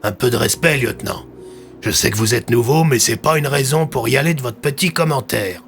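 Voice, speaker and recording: A man's voice: medium pitch, fairly quick, creaky, fairly bright tone, faint music behind. A man voicing a firm, courteous senior police commissioner in an audio drama, authoritative and formal.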